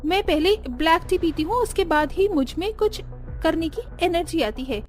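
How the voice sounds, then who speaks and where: A woman voicing a spoiled, lazy young daughter-in-law, whiny and dismissive, in an animated family story. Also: very high voice, normal speed, soft background music. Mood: snippy, defiant.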